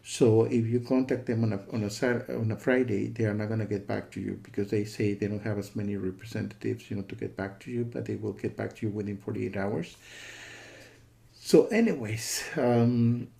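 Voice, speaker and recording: A man giving a casual spoken software review in a disgruntled, emphatic way, often repeating himself and checking in with the listener. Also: fairly low pitch, moderate pace, vocal fry.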